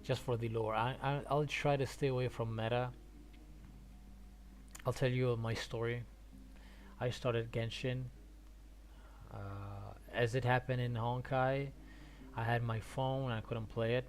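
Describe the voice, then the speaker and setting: A man from Argentina chatting casually on a game stream, haltingly thinking aloud and repeating words. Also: medium pitch, flat delivery, a little hiss or hum. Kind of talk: casual rambling stream chat about games.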